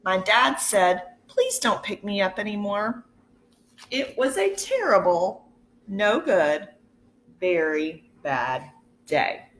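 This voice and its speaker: A woman teacher reading a picture book aloud to children in an expressive, deliberate way, pausing to put questions to her listeners. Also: mid-range voice, a little hiss or hum. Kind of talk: storybook read-aloud.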